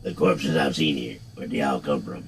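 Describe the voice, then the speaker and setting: A man putting on a deep gravelly brooding immortal. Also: a little hiss or hum. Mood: grim, puzzled. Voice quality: very deep, dark voice. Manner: slow, measured, gravelly. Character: brooding amnesiac immortal man, scarred and grim. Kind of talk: reading game dialogue aloud.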